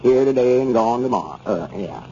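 A folksy rural Southern storekeeper, acted by a man for 1930s radio comedy, with a relaxed, mellow voice. He is gullible and pleased with himself, rambling through homespun sayings. The recording is dull, with faint noise. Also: mid-range voice, brisk, close to an everyday voice. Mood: sheepish, amused. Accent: rural Southern American. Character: folksy, gullible, self-important country storekeeper.

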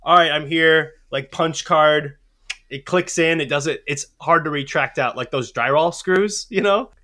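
A man's voice: fairly high pitch, unhurried, bright, forceful tone. A man hosting a podcast, talking casually in loose back-and-forth banter. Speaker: podcast host.

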